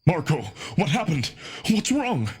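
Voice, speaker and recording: A man's voice: a little high, very animated, measured pace, a little mellow, husky, heavily acted. A man voicing an anxious, protective, commanding male wolf in a visual novel drama, urgent and emotional. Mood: alarmed. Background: music.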